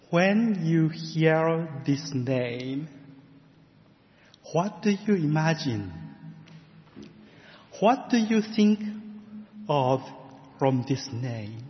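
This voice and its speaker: Slow Japanese-accented man preaching. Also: a little high, lively intonation. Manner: careful, measured delivery with gentle laughs. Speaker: guest preacher. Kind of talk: sermon. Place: church sanctuary. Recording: muffled sound with long church reverb.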